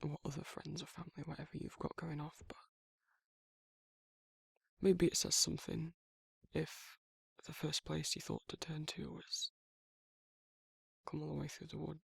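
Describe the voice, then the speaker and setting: A protective male werewolf, acted by a man murmurs with a British accent in a close, very dry ASMR roleplay. The voice sits a little high for a man, yet it is thick with crackling fry. The delivery is halting and awkward, tender and shy. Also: little pitch movement, dry recording, full character voice. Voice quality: husky, gravelly, breathy. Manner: halting, hesitant, self-correcting, softly murmured. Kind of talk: intimate ASMR romantic roleplay.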